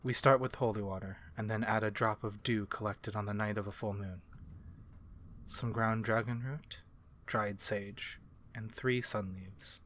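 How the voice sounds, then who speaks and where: A man voicing a courteous, learned vampire in an ASMR fantasy roleplay, speaking formally and with measured, archaic phrasing. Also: fairly low pitch, fairly even intonation, fairly bright tone. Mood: composed, scholarly. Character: courteous, learned, human-friendly male vampire curse-breaker.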